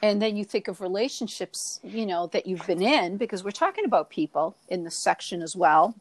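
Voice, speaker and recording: A woman's voice: medium pitch, fairly quick. A woman with a Boston accent, co-hosting a reflective spiritual podcast and coaching listeners with repeated rhetorical questions. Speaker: podcast co-host.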